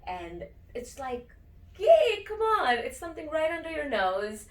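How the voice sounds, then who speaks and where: A woman's voice: high-pitched, very animated, measured pace, reverberant, far from the mic. A woman from India, a yoga and breathwork teacher, explaining her practice eagerly as an interview guest. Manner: enthusiastic, explanatory, often checks in with "okay?".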